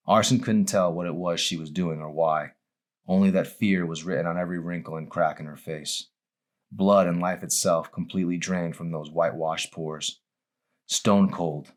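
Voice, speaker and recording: A man reading his own novel as a dramatic audiobook, in a grave, deliberate storytelling manner. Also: low voice.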